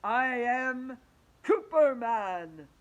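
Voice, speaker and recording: A woman reading an audiobook voices a jolly male teacher who claims to be a superhero, speaking slowly and theatrically. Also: lively intonation. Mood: heroic. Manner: slow, booming and theatrical. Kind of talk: audiobook character voice.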